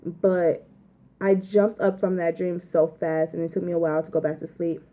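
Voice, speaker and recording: A woman's voice: lowish voice, flat delivery, normal speed, dark tone. A young woman vlogger casually telling a story to the camera, chatty and rambling with lots of filler words.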